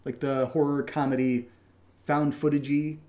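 Higher-pitched man, jokey and casual. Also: level tone, moderate pace, dark tone. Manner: jokey, self-deprecating storytelling. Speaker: podcast co-host, audio drama writer. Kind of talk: casual unscripted Q&A chat.

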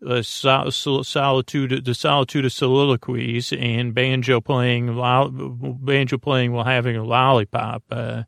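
Creaky gravelly guy doing a rambly bedtime podcast, halting, kinda silly, very dry close sound. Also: mid-range voice, flat delivery, no echo. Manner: meandering, halting, often trailing off and circling back; gently silly, friendly. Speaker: podcast host.

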